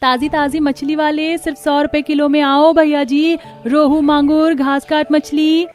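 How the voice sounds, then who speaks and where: A woman voicing a cartoon market fish seller, calling out in loud, drawn-out hawking. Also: sits high, level tone, soft background music, voice pushed up.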